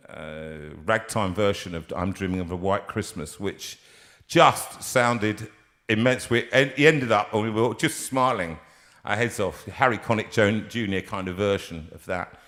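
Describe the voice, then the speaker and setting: A man's voice: lowish voice, unhurried, fairly bright tone. A man, a singer, chatting casually on a live stream from a music room, rambling with many fillers and restarts.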